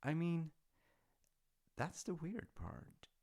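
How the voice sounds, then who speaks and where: A man playing a warm, gently teasing dad in an intimate audio roleplay, speaking thoughtfully and reassuringly with a gravelly voice. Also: a little high, expressive, extremely slow. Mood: musing. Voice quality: gravelly, creaky. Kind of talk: intimate audio roleplay, slice-of-life acting.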